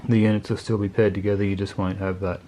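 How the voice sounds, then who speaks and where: A man's voice: on the low side, little pitch movement, quick pace, dark tone, a little hiss or hum. A man giving a casual, unscripted product review in a laid-back, colloquial, plain-spoken way. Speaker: motorcycle video channel host reviewing gear.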